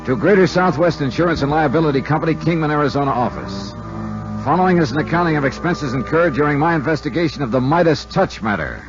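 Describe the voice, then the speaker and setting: A man's voice: fairly high pitch, animated, quick pace, natural voice. An actor playing a breezy, wry insurance investigator in 1950s radio drama, narrating his expense account in colloquial style. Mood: wry narration. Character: breezy, wisecracking male freelance insurance investigator. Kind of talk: 1950s radio detective acting and first-person narration. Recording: dull vintage radio recording, music behind.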